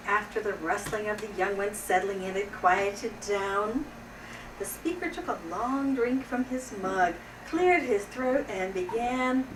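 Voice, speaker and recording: A woman playing Mrs. Claus, a grandmotherly storyteller reading a children's storybook with measured expression. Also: mid-range voice, lightly acted. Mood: measured, storytelling. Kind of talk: storybook read-aloud to children. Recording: echoey room, distant mic, faint noise.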